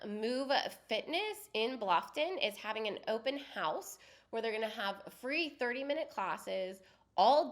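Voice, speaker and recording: A woman's voice: medium pitch, fairly bright tone. A woman co-hosting a podcast with a head cold, chatting through a list of local events in a casual, friendly way. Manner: chatty, lists events and details conversationally. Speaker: podcast co-host.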